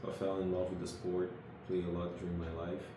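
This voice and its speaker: A man's voice: low voice, completely flat delivery, fast talker. A man from Senegal, talking unhurriedly as an interview guest and searching for his words. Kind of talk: casual interview chat. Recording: very echoey room with background noise.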